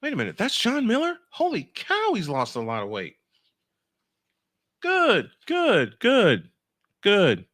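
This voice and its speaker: A man's voice: sits high, huge pitch swings, slow, pauses often, a bit bright, no room sound, clean studio sound. A man doing live baseball play-by-play on a stream, mixing in casual chat and rambling as he thinks aloud.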